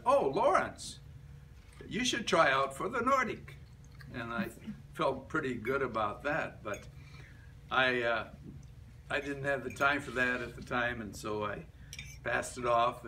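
An elderly man reads his reminiscences to a small gathering. His voice is bright and forward, and he moves haltingly from phrase to phrase. He is picked up from a few metres away in a meeting room, with faint noise around him. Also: mid-range voice. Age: older, about 70s to 80s. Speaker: club member giving a life-story speech. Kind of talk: reading a prepared reminiscence aloud to a group. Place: medium-sized indoor meeting room. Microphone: camera a few metres away. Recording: distant room mic with background noise.

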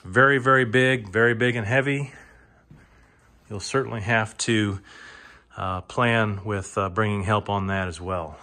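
A man giving an informal auctioneer's walk-through of items for sale inside a house, speaking haltingly and repeating himself for emphasis. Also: on the low side, fairly even intonation, sharp tone. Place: indoors, moving through rooms of a large house. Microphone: handheld phone or camera, held by the speaker.

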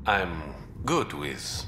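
An actor playing a roguish, smooth-talking young smuggler and spy in a video game, wry, teasing and self-assured. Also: male voice, extremely low pitch, pushed and bright, roomy sound, slight background noise, full character voice. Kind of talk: video game character dialogue.

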